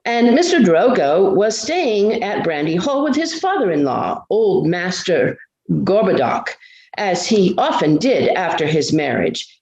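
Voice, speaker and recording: A woman voicing an old male hobbit gardener in a storybook reading, talkative and slow, with folksy village authority. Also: lowish voice, a little mellow, highs cut off, pitched below the performer's own voice. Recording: roomy indoor echo.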